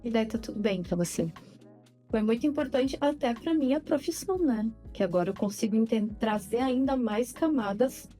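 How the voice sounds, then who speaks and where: Animated woman, mellow voice. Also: a little high, faint music behind. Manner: animated, talks fast with many repeats. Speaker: podcast guest. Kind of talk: casual interview conversation.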